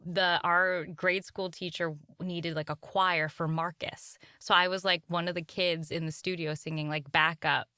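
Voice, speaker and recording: A Canadian-raised woman and audiobook narrator chatting casually from a home closet studio, bubbly and quick to laugh. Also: on the low side, little pitch movement, sharp tone, clear, resonant tone, dry recording. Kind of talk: casual video chat.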